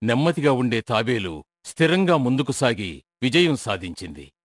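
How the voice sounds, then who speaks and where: A man's voice: medium pitch, sing-song, moderate pace, dry recording, clean studio sound. A man voicing a stern, sensible wolf in a children's cartoon story, speaking in a firm, admonishing way. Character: stern, sensible male wolf, fair-minded friend and referee. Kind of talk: children's animated story acting.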